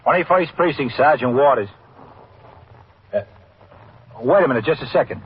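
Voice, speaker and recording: An actor playing an unhurried police desk sergeant taking calls in a 1950s radio drama. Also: male voice, medium pitch, natural voice. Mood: businesslike. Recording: tinny phone-like, hissy old radio recording.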